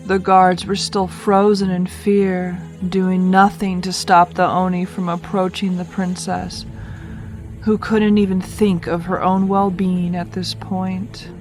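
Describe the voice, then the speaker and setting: A woman narrating a children's folktale as a read-aloud, with expressive, deliberate delivery. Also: fairly low pitch, soft background music, poor audio. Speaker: storyteller.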